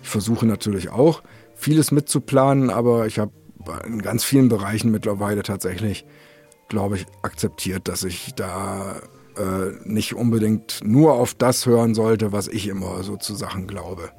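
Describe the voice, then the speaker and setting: Husky guy hosting a casual podcast, rambly and self deprecating, mid pitched, bit of music behind. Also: steady tone, moderate pace, dark tone. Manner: rambling, self-deprecating, jumps between thoughts. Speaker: podcast host.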